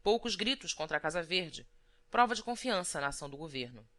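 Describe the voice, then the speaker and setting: Bright-voiced woman narrating an audiobook. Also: on the low side, big pitch swings, fairly quick. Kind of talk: scripted literary narration.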